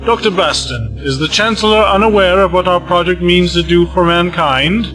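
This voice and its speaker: A man's voice: sits high, normal speed, lightly acted, rough recording. Man acting a suave gloating villain over dramatic music. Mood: mock innocent. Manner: smooth, condescending, mock-courteous.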